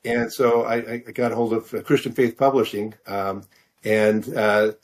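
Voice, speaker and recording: A man's voice: fairly low pitch, steady tone, normal speed. A middle-aged man speaking casually as an interview guest, hesitant and self-deprecating, often repeating words as he searches for the next one. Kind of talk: casual conversational storytelling.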